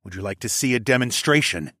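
A man's voice: medium pitch, expressive, quick pace, bright, forceful tone, no room sound, clean studio sound. A man playing a commanding warrior who interrogates captives, snarling and hissing threats through gritted teeth in an audiobook. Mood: cold threat.

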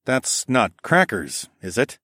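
A man's voice: medium pitch, sing-song, slow pace, sharp tone, no echo, studio quality, voice pushed up, full character voice. A man playing an easygoing, wry husband and adventurer in casual audiobook dialogue. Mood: exasperated.

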